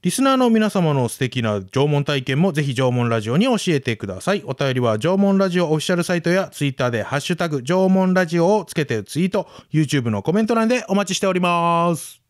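A woman with a low voice co-hosts casual radio chat, responsive and agreeable. She talks quickly, with pitch that moves about a great deal. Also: sing-song, no echo, studio quality. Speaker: radio co-host.

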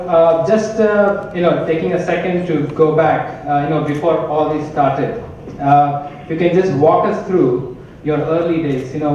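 A middle-aged man interviewing a guest on a hotel conference stage, halting, with many pauses and restarts. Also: fairly high pitch, a little mellow, slight background noise. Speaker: interviewer and chapter chair. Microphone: handheld mic. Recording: echoey distant hall audio, muffled.

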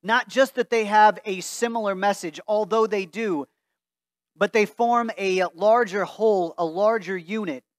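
High-voiced pastor reading scripture. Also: male voice, unhurried, no echo, clean studio sound. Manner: earnest, reads aloud from a Bible while standing. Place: church sanctuary before a stained-glass wall.

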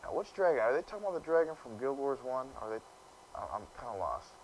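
A young man with a low, deep voice giving casual let's play commentary, grumbling and stumbling over quest text he reads aloud. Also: big pitch swings, quick pace, slight background noise, poor audio. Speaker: gamer.

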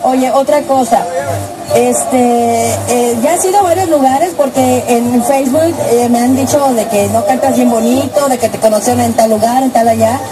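Female radio host, high-pitched, chatty and teasing; music bed underneath. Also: moderate pace, rough recording. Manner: playful, teasing, laughs often. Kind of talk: casual radio interview.

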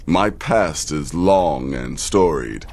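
An actor playing a sardonic, aloof desert-born assassin in a video game, speaking in a measured, dry, formal way. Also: male voice, rumbling low, animated, darkish tone, full character voice. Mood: proud, solemn. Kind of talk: video game character dialogue.

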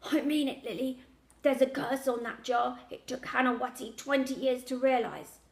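A woman playing a gravely sick old grandfather in an audiobook, croaky and breathless, speaking in urgent, broken phrases. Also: sits high, fairly even intonation, normal speed, a little mellow, echoey room, voice pushed up. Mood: grave, fearful.

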